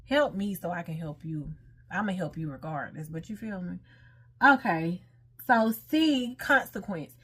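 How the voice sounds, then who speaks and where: A woman's voice: lowish voice, animated, measured pace, fairly bright tone, slightly rough. Casual American woman talking to her followers. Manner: informal, conversational, asks listeners rhetorical questions. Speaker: online host reading and commenting on a marriage lesson. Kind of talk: casual talk-through of read material with personal commentary.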